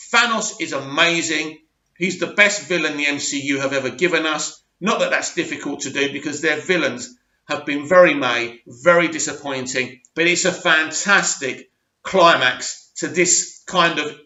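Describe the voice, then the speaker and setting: A man hosting a show, giving blunt, emphatic opinions in a slightly croaky voice. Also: fairly high pitch, sharp tone. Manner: blunt, emphatic, repeats words for stress. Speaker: host. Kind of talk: opinionated commentary monologue. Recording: roomy, dull lo-fi sound.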